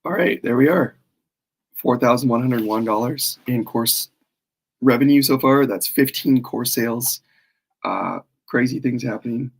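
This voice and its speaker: A man with a cold and a worn, hoarse voice, rambling casually through a live stream as he launches his course. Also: medium pitch, unhurried. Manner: rambling, self-deprecating, frequent fillers.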